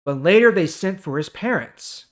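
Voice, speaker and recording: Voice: mid-range voice, expressive, moderate pace. A host of a Bible reading series, mixing scripture read aloud with informal, explanatory asides to listeners.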